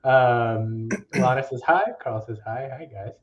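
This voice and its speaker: A man's voice: mid-range voice, a little slow. A man co-hosting a casual livestream, reading viewer comments aloud in an easygoing, agreeable way. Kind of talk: casual chat. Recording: slightly dull remote audio.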